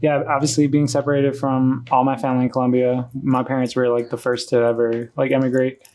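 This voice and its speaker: Mellow, hesitant young male host. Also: medium pitch, level tone, fairly quick. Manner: hesitant, soft-spoken, many fillers. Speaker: podcast host. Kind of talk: casual podcast chat.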